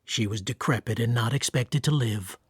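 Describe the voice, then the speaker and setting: A man narrating a radio drama from a script in a measured, wry, literary way. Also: mid-range voice, husky, no room sound, lightly acted.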